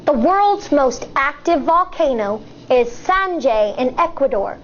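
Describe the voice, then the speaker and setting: A woman, an elementary school teacher, reading a nonfiction picture book aloud to young children in a deliberate, explanatory way. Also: high voice, lively intonation, a little hiss or hum. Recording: dull, muffled lo-fi sound.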